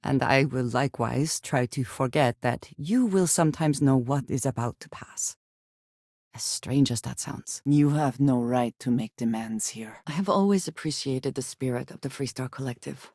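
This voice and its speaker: A woman playing a guarded, solemn, devout space adventurer in a video game, speaking scripted lines with formal, measured diction. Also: extremely low pitch, darkish tone, no room sound, studio quality.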